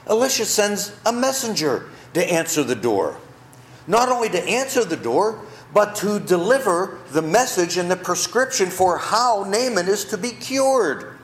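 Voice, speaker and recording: Male preacher, sermon, lively storytelling, rhetorical questions, echoey church sanctuary. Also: sits high, slight background noise. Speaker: pastor.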